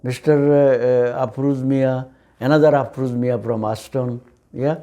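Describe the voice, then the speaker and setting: A man in his seventies with a Bangladeshi accent and Bengali as his first language, recalling his life in an interview in halting, hesitant, simple phrases with repeated words. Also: mid-range voice, fairly even intonation, dark tone. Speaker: oral history interviewee. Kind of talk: recollection in an interview.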